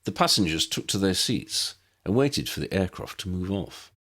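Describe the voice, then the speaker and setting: Low-voiced man reading a story. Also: big pitch swings, moderate pace, a bit bright. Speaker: audiobook narrator reading fan fiction. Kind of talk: expressive scripted narration.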